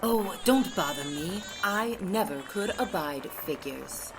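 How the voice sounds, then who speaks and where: A woman's voice: lowish voice, lively intonation, slow pace, background noise. A woman voicing a brusque, bossy, short-tempered Duchess in an audiobook. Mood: impatient. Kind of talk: audiobook character acting.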